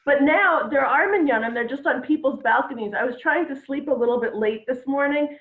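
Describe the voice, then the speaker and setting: A woman doing a stand-up set from home, with a dry, deadpan delivery. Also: high voice, a little fast. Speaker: stand-up comedian. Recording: tinny echoey phone-like line.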